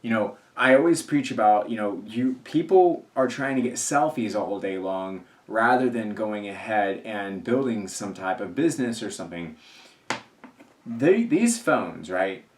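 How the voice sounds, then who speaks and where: A man's voice: on the low side, expressive, a little slow. A man in his thirties vlogging casually, rambling with many fillers and restarts. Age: 30s. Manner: rambling, filler-heavy, repeats himself. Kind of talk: casual talk to camera. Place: indoors at home work space. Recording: roomy echo, faint music behind.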